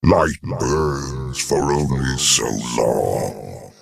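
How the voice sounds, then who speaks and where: Decadent alien emperor villain: extremely slow, heavily creaking, savoring every pompous word. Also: male voice, lively intonation, no echo, exaggerated voice. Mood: menacing, inviting. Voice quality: deep, gravelly. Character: grandiose, gloating, decadent fallen alien emperor. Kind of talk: video game villain monologue.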